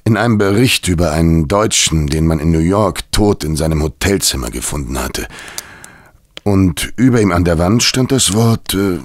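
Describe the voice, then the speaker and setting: An actor in a German audio drama playing a weary, dying London cabman who confesses with halting, grave bitterness. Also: deep voice, lively intonation, no echo, studio quality. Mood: grave confession.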